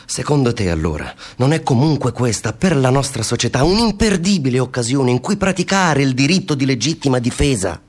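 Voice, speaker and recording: An actor playing a ruthless zealous man of power in a theatrical radio drama, declaiming in an insistent, rhetorical way. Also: natural voice. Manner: declamatory, rhetorical, insistent, swelling from low to high.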